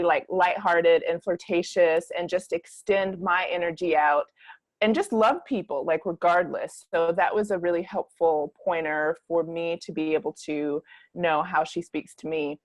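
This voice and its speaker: Woman host, lowish voice, dry close sound, affirming, casual. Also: no room sound. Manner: warm, affirming, reflective. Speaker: podcast host. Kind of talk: casual interview chat.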